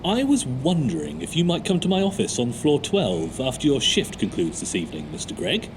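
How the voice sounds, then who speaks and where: A man's voice: mid-range voice, big pitch swings, a little fast, noisy background, close to an everyday voice. A man playing a coolly overbearing office boss, stiffly formal and polite, in an audio drama. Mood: coolly ominous.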